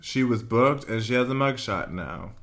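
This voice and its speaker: A man with a New York accent co-hosting a podcast, relaxed and casual, swearing as he tells stories. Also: mid-range voice, normal speed. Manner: laid-back storytelling, swears casually. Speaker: podcast co-host. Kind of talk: casual chat.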